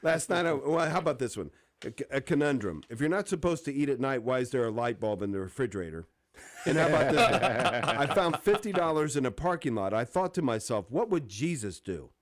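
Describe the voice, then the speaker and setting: A man's voice: medium pitch, expressive, fast. A man co-hosting a radio show, telling jokes in a casual, chatty style. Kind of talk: casual banter and joke-telling. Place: radio studio. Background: music bed.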